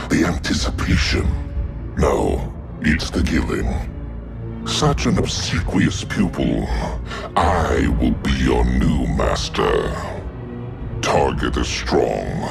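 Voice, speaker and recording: A bloodthirsty living scythe growls out slow taunts from the very bottom of the voice. The tone is rough and gravelly. Loud game music pounds behind it. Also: male voice, heavily acted. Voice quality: deep, gravelly. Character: sadistic living scythe, male, deep and menacing. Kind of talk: video game voice lines.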